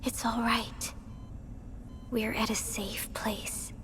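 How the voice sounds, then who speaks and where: A woman playing a calm, caring young supervisor in a video game, speaking gently and formally in a soft, breathy voice. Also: fairly high pitch, whispered, big-room sound, soft background music. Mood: gentle, reassuring. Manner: gentle, measured, formal, reassuring. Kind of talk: scripted video game voice acting.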